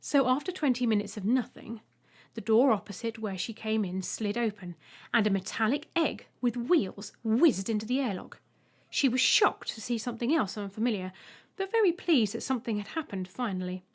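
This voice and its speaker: Woman reading an audiobook story, highish voice, expressive storyteller. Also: normal speed. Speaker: audiobook narrator. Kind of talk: scripted story narration.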